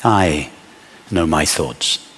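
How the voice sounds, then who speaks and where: A man leading a guided meditation as a teacher, speaking in measured short phrases with long pauses. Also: extremely low pitch, lively intonation, fairly bright tone, slightly rough, big-room sound.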